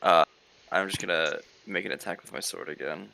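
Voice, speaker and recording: A man's voice: sits low, steady tone, fairly quick, creaky, sharp tone, rough recording. A man talking casually and a little hesitantly as a player in an online tabletop game. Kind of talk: casual chat. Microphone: online voice call.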